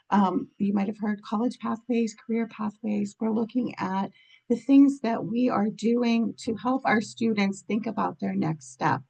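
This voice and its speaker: A woman's voice: on the high side, gravelly, a little mellow. A woman with a slight cold and scratchy voice, giving an informal explanatory presentation as a program officer.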